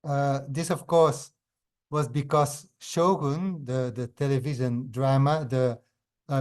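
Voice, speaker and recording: A man in his fifties from Belgium, not a native English speaker, giving a webinar talk as a historian over a video call, pausing often with fillers and restarts. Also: mid-range voice, darkish tone. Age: 50s. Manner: hesitant, frequent fillers and restarts.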